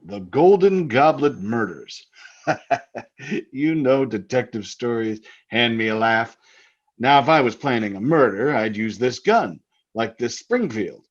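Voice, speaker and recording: Radio drama husband male actor: theatrical, taunting, jovial menace, very lively intonation, slightly muffled sound. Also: medium pitch, a little slow. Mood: jovial, sly. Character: jovially menacing husband, keen hunter, teasing with murder talk. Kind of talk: old-time radio drama acting.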